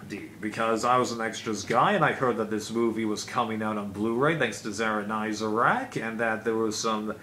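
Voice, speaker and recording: A man's voice: on the low side, fairly bright tone. Man, casual video host, rambling explanatory delivery, unscripted review style, audible background noise. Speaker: video host, DVD collector.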